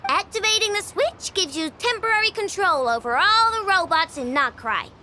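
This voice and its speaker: A woman's voice: extremely high pitch, expressive, normal speed, pushed and bright, a little hiss or hum, heavily acted. A woman voicing a small, level-headed young girl who takes charge in a video game. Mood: matter-of-fact. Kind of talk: animated video game voice acting.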